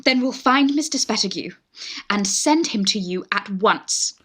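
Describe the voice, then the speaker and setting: Woman as coaxing British young lady, lively melody. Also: medium pitch, a little slow. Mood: pleading, eager. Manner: pleading, genteel, gushing. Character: coaxing, eager young Victorian lady in love. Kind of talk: Victorian stage farce, radio play acting.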